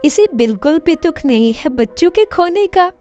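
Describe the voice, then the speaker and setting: Lady doing a fed up scolding mom, cartoon, really high voice, music behind. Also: expressive, moderate pace, mellow. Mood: exasperated, upset. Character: exasperated mother scolding her husband. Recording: dull lo-fi sound, music behind.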